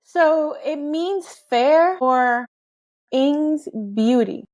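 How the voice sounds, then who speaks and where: A woman's voice: high voice, very slow, darkish tone. A woman hosting a conversational interview who asks curious, rambling follow-up questions. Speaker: podcast host.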